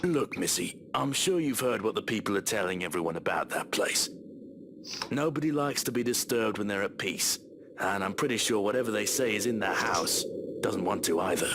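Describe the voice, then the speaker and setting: A low-voiced, superstitious cab driver speaks like a horror game's local who knows better. He is grave and folksy in his cautions, with clear noise behind him. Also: lively intonation, normal speed. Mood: ominous warning. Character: wary male taxi driver, grave and superstitious. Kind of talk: visual novel voice acting.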